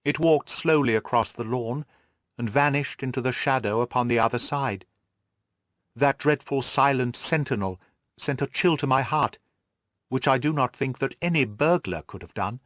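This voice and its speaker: A man's voice: medium pitch, normal speed, long pauses, fairly bright tone, dry recording, clean studio sound, lightly acted. A man voicing an earnest, curious young governess who recounts events in formal Victorian storytelling for an audiobook. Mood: uneasy.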